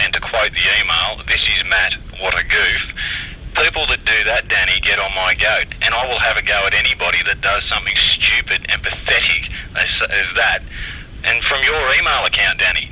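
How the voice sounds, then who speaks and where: A man's voice: on the low side, sharp tone, breathy edge. An Australian man arguing over two-way radio in a blunt, scolding way. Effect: two-way radio transmission. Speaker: CB radio operator. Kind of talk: argumentative radio exchange. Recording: faintly noisy UHF CB radio.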